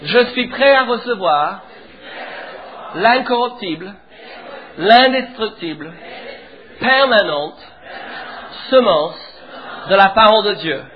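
A middle-aged man from England, a pastor preaching a sermon in French with an English accent, leading the congregation in animated declarations. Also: high-pitched, slow, pauses often. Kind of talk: sermon in church. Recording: tinny phone-like sound with faint music.

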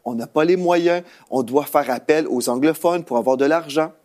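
A man's voice: a little high, normal speed, darkish tone. A man with a Canadian French accent, a pastor and host teaching in a conversational televised sermon style.

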